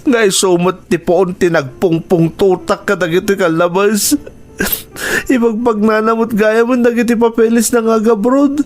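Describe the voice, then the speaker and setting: A man's voice: sits high, fairly even intonation, normal speed, close to an everyday voice. A man acting a remorseful husband whose wife has left him, in an Ilocano radio drama with an Ilocano accent. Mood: sorrowful. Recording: radio drama with music underneath.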